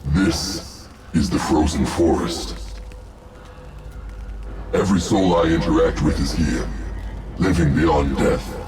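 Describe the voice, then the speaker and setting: An actor playing a self-aware artificial intelligence that demands answers about itself, solemn and measured in a video game. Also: male voice, extremely low pitch, a crowd in the background. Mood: cold, searching. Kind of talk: dramatic video game acting. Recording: distant voice under clear music.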